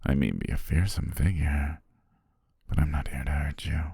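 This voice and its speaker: A man playing a possessive shapeshifting naga who poses as a devoted servant, speaking gently and formally in an intimate ASMR roleplay, husky, breathy and often whispering. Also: very deep voice, steady tone, no echo, studio quality, heavily acted. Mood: tender, possessive, coaxing. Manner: slow, gentle, formal, coaxing.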